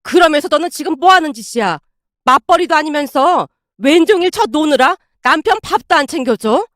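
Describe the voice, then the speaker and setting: A woman's voice: high voice, animated, fairly quick, dry recording, clean studio sound. A woman playing a blunt, scolding older mother-in-law. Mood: furious scolding. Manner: indignant, blunt, berating.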